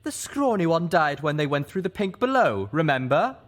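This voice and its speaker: A man's voice: very animated, moderate pace. A man voicing a group of dazed, mind-controlled alicorn mares who whine and bicker with each other. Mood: whiny, bickering. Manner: high, whiny and bickering.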